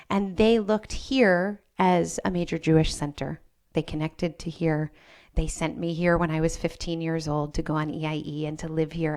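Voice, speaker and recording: A woman from the United States with an American accent tinged with Israeli sounds, a rabbi speaking personally and thoughtfully on a panel to a live audience. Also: lowish voice.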